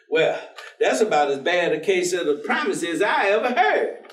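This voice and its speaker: Stage reading, distant mic: male actor as devout, folksy man, teasing and good-humored, with very lively swings in pitch. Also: measured pace. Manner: folksy, teasing, chuckling, probing with questions. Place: indoor theater stage. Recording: echoey theater, distant microphone.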